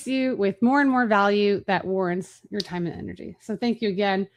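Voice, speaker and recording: A woman hosting a marketing webinar, talking fast and casually with fillers and restarts. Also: medium pitch. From Chicago, USA. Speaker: webinar host.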